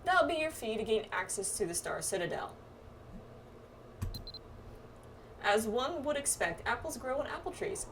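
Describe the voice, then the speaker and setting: A woman's voice: fairly high pitch, sing-song, brisk, a bit bright, a little hiss or hum. A woman voicing a breezy, confident astrologer guide from a fantasy game, chatty and teasing as she reads quest dialogue aloud. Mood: brisk, instructing. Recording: distant mic, music behind.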